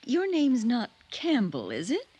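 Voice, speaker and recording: An actress playing a gentle, delicate middle-aged schoolteacher in mourning, hesitant and wistful, in a 1950s radio drama. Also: female voice, on the high side, huge pitch swings, a little slow, husky, muffled recording, full character voice.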